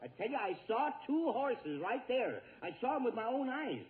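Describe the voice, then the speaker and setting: Flustered middle-aged radio-comedy dad: high, swooping, sputtering; tinny vintage broadcast. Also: male voice, sing-song, normal speed. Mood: indignant. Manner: sputtering, stammering, indignant. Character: flustered, exasperated middle-aged father of a teenage son. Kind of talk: 1940s radio sitcom acting.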